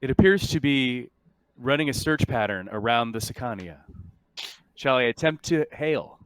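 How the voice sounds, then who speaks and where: A man voicing a calm female ship's computer in a roleplaying game, making formal, measured announcements. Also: medium pitch, smooth, no room sound, close to an everyday voice, low-quality recording.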